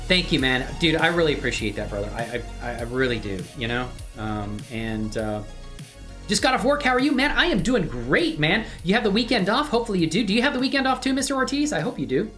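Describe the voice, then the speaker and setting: Low voiced woman streaming, super animated and friendly, chatting w viewers, faint music behind. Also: fairly quick. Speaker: live streamer hosting own channel. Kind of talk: casual livestream chat. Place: indoors at a computer.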